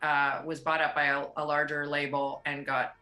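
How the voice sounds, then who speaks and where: Low-voiced woman with a forceful tone. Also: moderate pace, soft background music. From USA, outside Pittsburgh. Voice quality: low, big rock voice. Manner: chatty, animated, rambling. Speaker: singer, interview guest. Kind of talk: casual interview chat. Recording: roomy echo.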